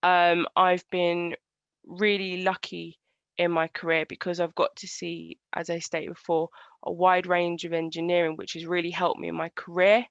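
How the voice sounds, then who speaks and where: A woman engineer giving an online presentation in a halting, earnest way, over a video call. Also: lowish voice, level tone, gravelly, no room sound. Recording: dull lo-fi video call audio.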